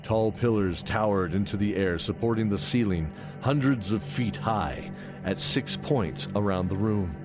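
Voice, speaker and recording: A man's voice: fairly low pitch, unhurried. A man narrating a scripted fantasy story as the narrator of an audio drama. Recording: music under the voice.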